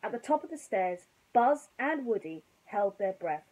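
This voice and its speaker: Young woman with a slightly husky voice. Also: medium pitch, sing-song, measured pace, darkish tone, rough recording. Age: young adult. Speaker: bedtime storyteller. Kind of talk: informal children's storytelling, reading aloud. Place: small bedroom, indoors.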